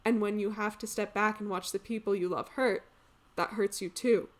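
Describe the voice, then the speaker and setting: A woman playing an earnest, reflective character in a contemporary dramatic monologue, speaking with deliberate emphasis. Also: mid-range voice, fairly even intonation, close to an everyday voice. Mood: earnest, tender. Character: earnest, reflective woman musing on life and love.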